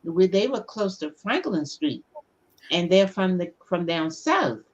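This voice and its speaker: A woman's voice: lowish voice, big pitch swings, a little slow, highs cut off. A woman co-hosting a casual chat show, with a nasal voice, reminiscing and asking questions. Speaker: co-host.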